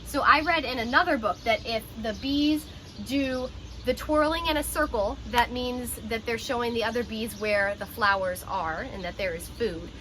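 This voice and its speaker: A woman reading a children's picture book aloud like a teacher, with expressive, instructive delivery. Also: on the high side, normal speed, slight background noise. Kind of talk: children's storybook read-aloud with explanatory asides.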